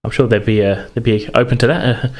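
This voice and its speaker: A man acts out a young male box-office clerk in a tabletop game. He is polite and deferential, with a hesitant, slightly halting way of serving a customer. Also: medium pitch, lightly acted.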